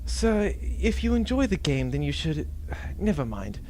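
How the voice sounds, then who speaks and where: A man's voice: on the high side, very animated, unhurried, a little mellow, a little hiss or hum. A man as a hesitant, weary henchman. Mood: resigned. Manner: deferential, hesitant, exasperated. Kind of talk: comedic sci-fi radio play acting.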